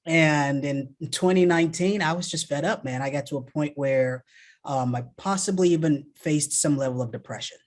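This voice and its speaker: A man in his thirties from Nigeria, a podcast guest telling his life story in a relaxed, friendly way. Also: a little high, moderate pace. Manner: relaxed and friendly, laughs easily. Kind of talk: casual storytelling.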